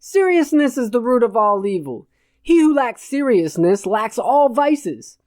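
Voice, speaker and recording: A woman's voice: a little high, sing-song, a little slow, darkish tone, no echo, pitched above the performer's own voice. Storybook rogue preacher, mischievous and irreverent, grandly declaiming mock sermons, acted by a woman, in a dry recording. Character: irreverent, roguish cult preacher and jester Puck.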